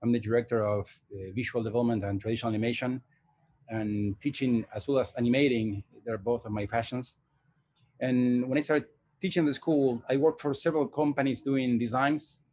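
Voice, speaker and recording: A man's voice: mid-range voice, steady tone, fairly quick, a little mellow. A man in his forties from Argentina, an animation director and teacher, talking thoughtfully with many hesitations and restarts in a casual interview. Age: 40s.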